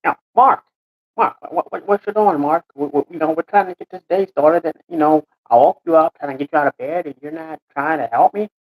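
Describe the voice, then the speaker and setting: A man voicing an excitable, bossy and earnest comedy sketch character who stammers and repeats himself insistently. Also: a little high, fairly quick, voice pushed up, lightly acted, poor audio. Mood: exasperated nagging.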